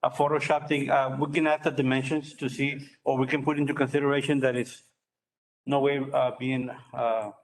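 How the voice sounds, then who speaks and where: A man speaking informally and haltingly in a meeting discussion, often repeating words as he goes. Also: medium pitch, level tone, low-quality recording. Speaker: official leading a library board meeting discussion. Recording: faint background noise.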